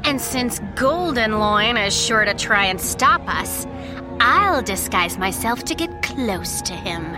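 A woman playing a brash, excitable teenage girl, a shapeshifting sidekick in an audiobook drama, cheeky and casual. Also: fairly high pitch, slow pace, over music. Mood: gleefully scheming. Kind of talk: full-cast audiobook drama.

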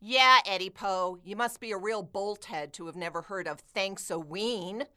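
A woman's voice: mid-range voice, moderate pace, bright, forceful tone, clear, resonant tone, close to an everyday voice. A woman playing a brash, scornful kid in a comic radio play, blunt and mocking.

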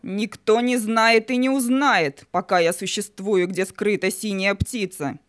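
A woman's voice: mid-range voice, unhurried, clear, resonant tone, no echo, studio quality, lightly acted. A woman playing the imperious, mocking spirit of Night in a children's audio play, grand and menacing in manner. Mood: haughty defiance.

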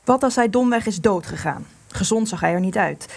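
A woman's voice: medium pitch, expressive, brisk, creaky. A woman playing an anxious, resentful wife in wartime hiding in a Dutch radio play, grave and disillusioned. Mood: worried.

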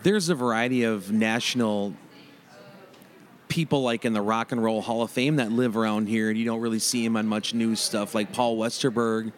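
A man hosting a radio interview, speaking haltingly with plenty of "uh" and "like". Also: on the low side, fairly even intonation. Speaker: radio show host. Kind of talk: casual interview questions.

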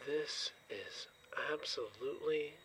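A man's voice: fairly high pitch, slow, heavy background noise. An actor in an audio drama playing an indignant, hot-headed crewman. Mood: irritated, tense.

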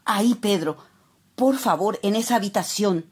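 An actress playing an anxious wife in a radio drama, speaking expressively with a Paraguayan accent. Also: female voice, mid-range voice, normal speed, very noisy, lightly acted. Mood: alarmed. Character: anxious wife settling into a haunted old house. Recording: music behind.

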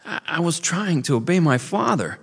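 A man's voice: a little high, very animated, measured pace, warm tone, slightly rough, voice pushed up, full character voice. A man voicing a frightened young male beaver who stammers and pleads. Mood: scared. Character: scared young male beaver, eager to please.

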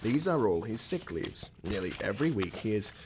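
An Australian actor playing a creepy, formal school headmaster in a radio drama, ingratiating and deliberate. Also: male voice, fairly low pitch, very animated, background noise. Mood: grave. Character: creepy middle-aged male school headmaster, smooth-talking, secretive.

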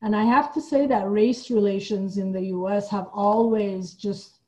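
A woman's voice: mid-range voice, steady tone, normal speed, a little mellow, rough recording. A woman who grew up partly in the Boston area, talking casually about politics in a reflective, informal way, as if thinking aloud. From Iran, partly raised in the Boston area. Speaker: podcast guest, playwright and artistic director.

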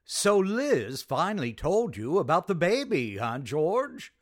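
An actor playing an amused best pal who hands out advice in an old-time radio sitcom. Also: male voice, a little high, very animated, slow, exaggerated voice. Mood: amused, advising.

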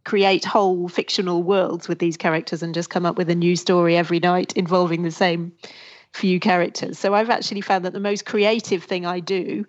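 A woman's voice: lowish voice, flat delivery, brisk. A woman who translates books, chatting thoughtfully in an interview and laughing easily. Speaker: literary translator and academic. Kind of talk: conversational interview answers. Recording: dull lo-fi audio.

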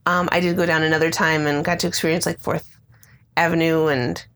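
A woman's voice: sits low, flat delivery, brisk, a bit bright. A middle-aged American woman chatting casually and unscripted as the co-host of a podcast.

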